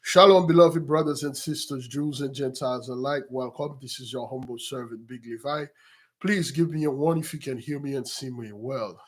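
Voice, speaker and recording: Hoarse man with a heavy cold. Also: medium pitch, normal speed. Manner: formal, apologetic, addressing followers as beloved. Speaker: religious teacher hosting a livestream. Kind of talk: livestreamed religious address to followers.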